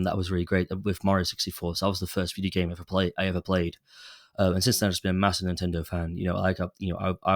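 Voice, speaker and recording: Low-voiced man with a cold. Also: no room sound, studio quality. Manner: rambling, many fillers and restarts. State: recovering from a cold. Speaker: podcast host. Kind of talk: casual solo chat.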